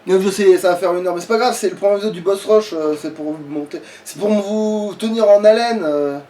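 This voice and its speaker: A woman's voice: medium pitch, a little fast, mellow. A woman doing casual, rambling let's play commentary as the player, with informal swearing. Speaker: let's player playing the game. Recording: distant mic, background noise.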